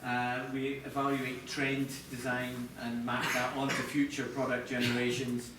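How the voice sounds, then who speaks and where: A man's voice: mid-range voice, completely flat delivery, a little slow. Echoey, distant middle-aged Scottish man presenting. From Scotland. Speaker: chief TV product designer presenting. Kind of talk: live presentation to an audience. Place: echoey presentation room with audience. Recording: echoey room from afar, clear background noise.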